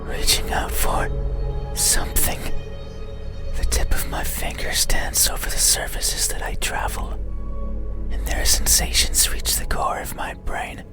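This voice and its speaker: A man voicing a lonely, melancholy, menacing creature of the night in a breathy whisper, in the style of an ASMR horror visual novel. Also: close to an everyday voice. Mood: weary, obsessive. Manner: slow, hushed, halting, brooding phrasing. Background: loud eerie music.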